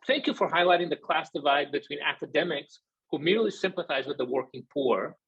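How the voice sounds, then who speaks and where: A man moderating an academic discussion off the cuff, often pausing and searching for his words. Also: fairly high pitch, a bit bright, husky, highs cut off.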